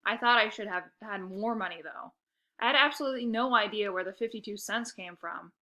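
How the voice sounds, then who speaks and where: A woman narrating a children's story aloud with expressive, scripted delivery. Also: medium pitch, fairly quick.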